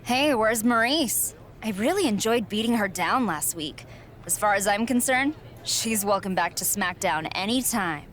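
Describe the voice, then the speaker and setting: A woman's voice: medium pitch, lively intonation, moderate pace, a bit bright, lightly acted. A woman playing a cocky, self-assured champion wrestler in a video game cutscene, with sarcastic delivery. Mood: mocking.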